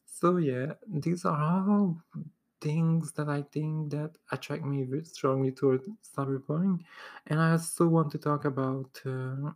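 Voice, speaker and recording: Solo podcast host, woman, native French speaker with a Québécois accent; low, unhurried delivery, lots of "uh" and restarts. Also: fairly even intonation. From Quebec, Canada. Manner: hesitant, many "uh" fillers and restarts, occasional soft laughs.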